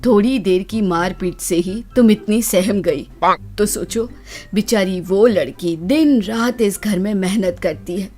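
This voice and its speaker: Older motherly fairy-tale woman, acted by a woman: unhurried, caring, gently admonishing, faint music. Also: medium pitch, natural voice. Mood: reproachful. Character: kindly, firm older motherly magical woman.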